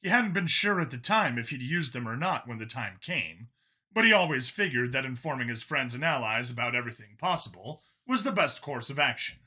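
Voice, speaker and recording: Man, audiobook narrator, very bright and sharp tone, mid pitch, scripted sci-fi storytelling. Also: sing-song, brisk, husky.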